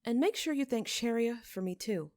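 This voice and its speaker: Woman playing a higher-voiced, caring noble mother. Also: expressive, normal speed, a little mellow. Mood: relieved, grateful. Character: caring noblewoman mother, learned and composed investigator.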